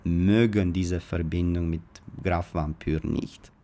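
A man's voice: low-pitched, fairly even intonation, slow, warm tone, slight background noise. A man from Romania with a Romanian accent, explaining things as an academic in an interview, speaking a second language.

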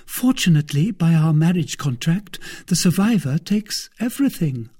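A man puts on a lovesick, miserly wife from an old adventure storybook, pitched up high. She pleads and bristles with overwrought feeling, her lines swooping widely in a husky tone. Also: very animated, moderate pace, warm tone. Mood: coy. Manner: pleading, indignant, emotionally overwrought. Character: lovesick, jealous, miserly married woman, a procurator's wife.

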